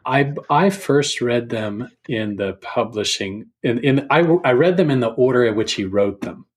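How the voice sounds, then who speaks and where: A man speaking as a guest in a casual podcast chat about books, thinking aloud in a halting, thoughtful way. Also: mid-range voice, slightly rough.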